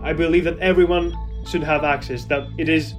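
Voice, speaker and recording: A man's voice: on the high side, fairly even intonation, brisk, soft background music. A young man in his twenties from Greece, speaking English with a Greek accent in an earnest, conversational interview. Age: 20s. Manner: earnest, with frequent "you know" fillers.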